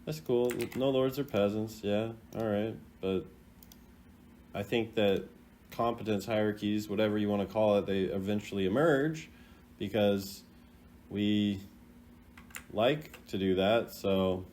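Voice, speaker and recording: A man's voice: fairly low pitch, unhurried, a little mellow, slight background noise. A man doing casual reaction commentary as a gaming creator, thinking aloud in an informal, rambling way. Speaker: video game content creator ranking voice lines.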